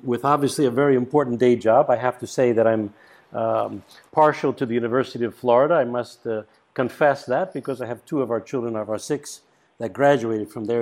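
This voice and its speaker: A middle-aged man, a priest hosting a radio program, asking questions in a gentle, conversational way. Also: mid-range voice. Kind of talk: radio interview.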